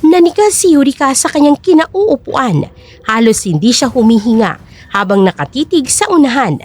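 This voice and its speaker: A woman's voice: sits high, big pitch swings, normal speed, a little mellow, slightly rough. A woman narrating a dramatic scripted story in Tagalog.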